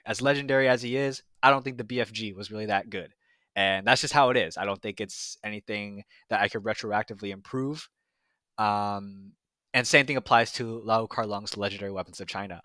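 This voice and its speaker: Young man, film reviewer, casual and reflective, bright low-ish voice, very dry sound. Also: dry recording. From United States. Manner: reflective, frequent fillers and restarts.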